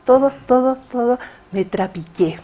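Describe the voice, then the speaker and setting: A woman's voice: a little high, a little slow, warm tone, highs cut off. A Chilean woman, a poet, answering interview questions in a hesitant conversational way with frequent fillers. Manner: hesitant, many fillers and restarts. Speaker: poet and writer, interview guest.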